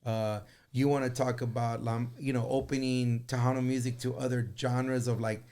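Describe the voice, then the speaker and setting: A male musician speaking as a guest in a casual conversation. His voice sits at an average pitch, and he makes his points in an earnest, emphatic way. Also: flat delivery, moderate pace, mellow. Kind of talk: casual chat.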